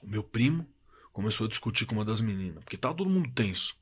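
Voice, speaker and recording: A man with a Brazilian accent playing a shaken young man recounting a frightening night in a halting, colloquial way, in a horror audio drama. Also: on the low side, sing-song, slightly rough. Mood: agitated. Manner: halting, repeating words, colloquial. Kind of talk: dramatized first-person horror story, told conversationally.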